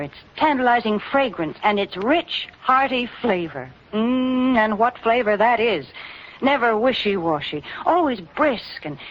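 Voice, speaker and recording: A woman delivering a chatty, polished sponsor pitch in 1940s radio announcer style. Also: slight background noise. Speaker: commercial announcer. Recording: muffled 1940s radio recording.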